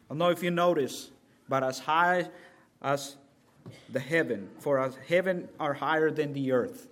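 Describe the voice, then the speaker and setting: Earnest male preacher, fairly high voice. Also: a little slow. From El Salvador. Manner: earnest, building from quiet greetings to loud emphatic preaching. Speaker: guest preacher. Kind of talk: sermon.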